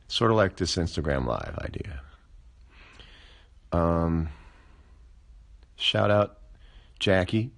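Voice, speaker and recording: A man of forty chatting casually on a phone livestream, musing aloud with wry, deadpan asides. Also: low-pitched, gravelly. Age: 40s. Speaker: livestream host.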